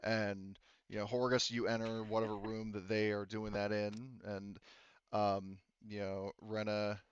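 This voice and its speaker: A man running a tabletop roleplaying game as game master, narrating casually in a halting, conversational way. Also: lowish voice, level tone, lo-fi sound, slight background noise.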